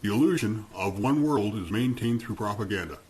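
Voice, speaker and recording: A man's voice: lowish voice, expressive, brisk, darkish tone, slightly rough. A man reading scripted narration for a radio play.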